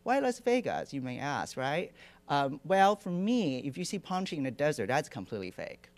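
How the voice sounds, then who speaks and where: A middle-aged man from Taiwan, giving a relaxed lecture with many fillers and dry humor into a handheld microphone in a lecture hall. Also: on the high side, moderate pace. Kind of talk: lecture presentation. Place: lecture hall with projection screen.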